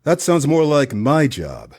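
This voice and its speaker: A man's voice: fairly high pitch, wildly swooping pitch, measured pace, darkish tone, heavily acted. A man playing a wry, cynical hard-boiled detective in a noir parody radio play, dry and sardonic. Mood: reluctant.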